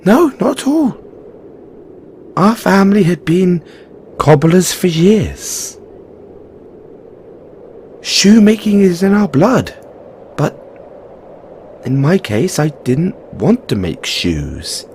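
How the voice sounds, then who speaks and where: A man's voice: high-pitched, big pitch swings, takes it slowly, long pauses, a little mellow, a little hiss or hum, heavily acted. A man playing a frank, caring Christmas elf in an audio roleplay, earnest and informal, chiding yet reassuring. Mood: wistful. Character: frank, caring male Christmas elf, weary but supportive. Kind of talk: second-person audio roleplay monologue.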